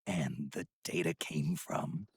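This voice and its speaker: An actor playing a gruff, world-weary older male soldier in a video game, husky and terse, often half-whispering in a growl. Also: very deep voice, slow, slight background noise, full character voice. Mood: suspicious. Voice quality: very gravelly, husky. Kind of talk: dramatic video game voice acting.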